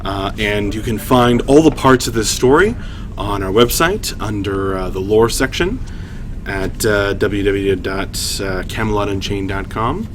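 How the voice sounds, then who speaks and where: A man hosting a story reading, shifting between informal, halting chat and measured storytelling narration. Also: on the low side, background noise. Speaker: writer and host reading his own story aloud. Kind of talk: livestream story reading, narration with casual asides.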